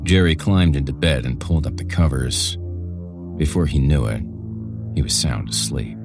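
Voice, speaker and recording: A man's voice: very deep voice, animated, normal speed. A man reading a scripted horror story as a podcast narrator, with music behind him.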